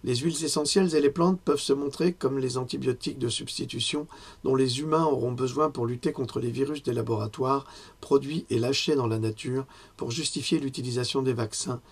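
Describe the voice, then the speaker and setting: Hoarse male lecturer, husky throat, chatty delivery full of pauses and self-corrections, mid-range voice. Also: medium pitch, fairly even intonation. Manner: conversational, with frequent fillers and self-corrections. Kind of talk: health lecture with personal asides.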